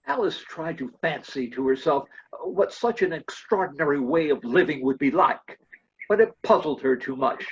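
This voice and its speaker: A woman's voice: low-pitched, animated, moderate pace. Woman narrator, scripted story reading, readers' theater style, dull lo-fi recording.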